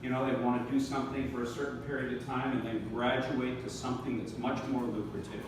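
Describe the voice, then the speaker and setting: A man's voice: mid-range voice, level tone, fast talker, noisy background. Man giving a speech in an echoey room. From northern New York. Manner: conversational, folksy, with fillers and repeated words. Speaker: congressional candidate. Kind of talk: campaign stump speech. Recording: echoey room recorded from far off.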